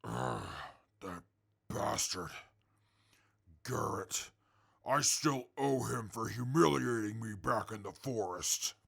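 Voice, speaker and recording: Casting: proud mutant warrior, very gravelly, deliberate pace, formal and menacing, quick to indignation, post-apocalyptic radio drama. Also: male voice, lowish voice, sing-song, fairly bright tone, exaggerated voice. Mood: brooding, resentful. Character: proud, irritable male super mutant warrior in captivity.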